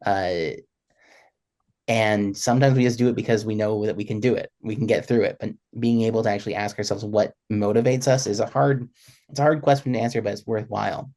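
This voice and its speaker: A man speaking casually and reflectively as a panelist, haltingly repeating words as he thinks. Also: fairly low pitch, fairly even intonation, a little mellow. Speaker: panelist who presents music.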